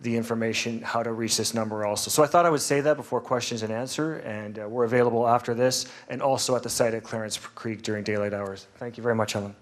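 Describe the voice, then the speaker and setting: A man from a relief agency giving a measured update at a public meeting, with frequent pauses. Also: medium pitch, fairly even intonation. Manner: measured, bilingual, with fillers. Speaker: Red Cross representative.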